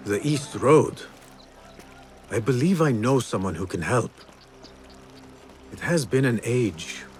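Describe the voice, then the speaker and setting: An actor playing a young male assassin in a game, calm, with a dry wit. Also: medium pitch, takes it slowly, soft background music.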